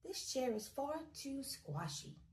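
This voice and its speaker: A woman's voice: high-pitched, very animated, slow pace, recorded from a distance, voice pushed up, exaggerated voice. A woman voicing a fussy, grumpy little girl who grumbles and complains. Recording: roomy echo.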